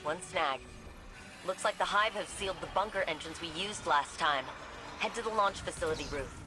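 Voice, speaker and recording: An actress playing a brisk, irreverent field operative giving casual, teasing mission radio dialogue in a video game. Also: female voice, lowish voice, over music, close to an everyday voice, poor audio.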